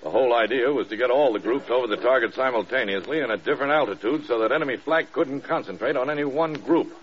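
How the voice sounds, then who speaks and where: An actor playing a stern, probing American air force general in a radio drama, measured and authoritative. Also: male voice, medium pitch, slight background noise, lightly acted. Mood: stern, controlled. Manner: measured, authoritative, pointed questioning. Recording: dull lo-fi old radio recording.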